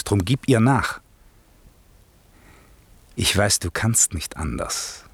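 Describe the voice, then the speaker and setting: A man's voice: medium pitch, fairly bright tone, breathy edge, no echo, exaggerated voice. A man playing a stern, imperious magician master who can turn benevolent, declaiming verse with authority in a German classical radio play. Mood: gentle command.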